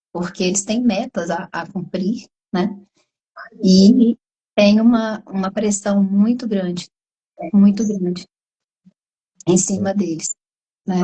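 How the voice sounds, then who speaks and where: A Brazilian woman talks through a video call at an even middle pitch, her voice hoarse from a cold. She explains at length and keeps checking in with little tag questions as she goes. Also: low-quality recording. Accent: Brazilian Portuguese. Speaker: psychologist guest. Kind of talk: explanatory live conversation.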